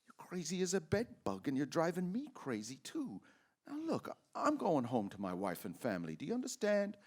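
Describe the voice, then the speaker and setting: Guy playing frantic confused family man, radio drama, stammers, pitch all over the place. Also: huge pitch swings, a little fast, darkish tone. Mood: frantic, exasperated. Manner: stammering, urgent, swinging from mutters to outbursts. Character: distressed, bewildered family man George, excitable and exasperated. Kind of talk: old-time radio drama acting.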